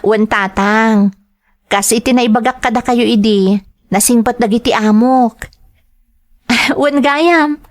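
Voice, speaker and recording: A woman's voice: on the high side, slow pace, pauses often. Brief: earnest young woman working overseas, devoted to her family, audio drama delivery. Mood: affectionate, earnest.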